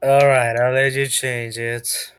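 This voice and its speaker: A man's voice: medium pitch, level tone, takes it slowly, a bit bright. Man in a casual tabletop session, music behind.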